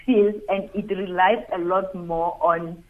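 A woman with a South African accent, a psychologist guest explaining things in a measured way. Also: lowish voice, steady tone. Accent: South African English. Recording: tinny phone line.

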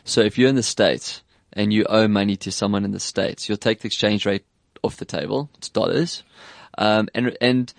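A man with an English South African accent hosting a casual tech chat, animated and quick to put on voices when quoting people. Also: fairly low pitch, vocal fry, dry recording, clean studio sound. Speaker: podcast host.

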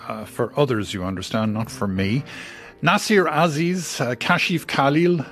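A man's voice: mid-range voice, measured pace, fairly bright tone. Man, radio co-host, mid-range voice, reading listener mail over faint music. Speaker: radio co-presenter.

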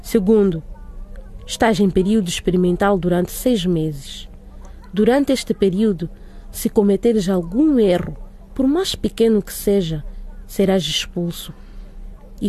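A woman playing a firm, formal female school director in a radio drama, lecturing in measured, authoritative sentences. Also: medium pitch, dark tone, close to an everyday voice. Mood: stern.

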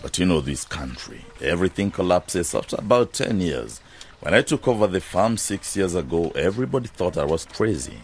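A man's voice: low voice, very animated, normal speed, slightly rough, exaggerated voice. An actor playing a proud, hard-headed farmer and businessman in a radio drama, self-assured and matter-of-fact.

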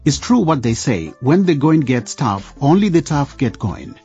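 A man's voice: mid-range voice, sing-song, moderate pace, muffled recording, music in the background. A man narrating a radio drama from a script.

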